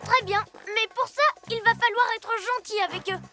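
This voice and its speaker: A woman's voice: extremely high pitch, normal speed, music in the background. A woman voicing an earnest, eager, kind-hearted little boy superhero in a dubbed children's cartoon. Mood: earnest, firm.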